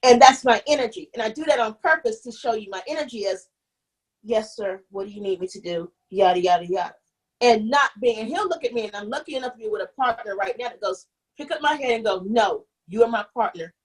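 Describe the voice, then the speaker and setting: A young adult woman telling her own story as an interview guest, candid and animated, often restarting sentences and acting out what others said. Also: fairly high pitch, fast, low-quality recording. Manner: animated, restarts often, acts out quoted voices.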